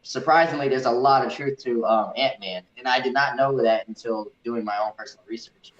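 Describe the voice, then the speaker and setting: A man co-hosting a casual movie discussion, speaking haltingly and often repeating words as he works out his points. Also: mid-range voice. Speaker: co-host of a movie review show. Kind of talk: casual film discussion with live comments. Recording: dull, echoey room sound.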